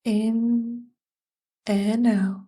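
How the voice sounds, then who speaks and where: A woman leading a scripted guided meditation, giving gentle, measured and soothing instructions. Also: fairly high pitch, flat delivery.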